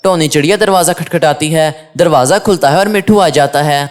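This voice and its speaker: Mid-pitched storybook narrator of unclear sex. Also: a little fast. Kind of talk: scripted children's story narration.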